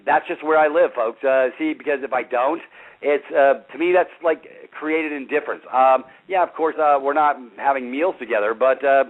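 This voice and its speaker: A man in his fifties hosting a talk show, loud and passionate, rambling with many fillers. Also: mid-range voice, normal speed. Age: 50s. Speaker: radio show host. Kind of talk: casual talk show chat. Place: front porch outdoors. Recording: tinny phone line.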